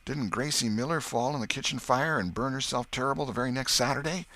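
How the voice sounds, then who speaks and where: A man voicing an excitable, superstitious, self-pitying schoolboy in a rural Southern American dialect for an audiobook. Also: medium pitch, fast, no echo, pitched above the performer's own voice. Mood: eager, superstitious. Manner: folksy dialect, exclaiming and whispering. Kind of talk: audiobook character acting.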